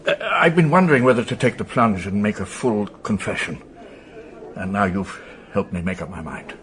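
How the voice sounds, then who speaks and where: An actor playing an apologetic, halting titled gentleman in a radio drama, with a genteel manner. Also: on the low side, animated, a little hiss or hum. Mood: apologetic, resigned. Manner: hesitant, genteel.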